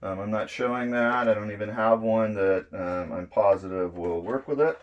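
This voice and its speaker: A middle-aged man giving a casual, unhurried hands-on demonstration, explaining each step as he goes. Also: fairly low pitch, dull audio. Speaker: presenter of electronics demo videos. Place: indoors at a draped table.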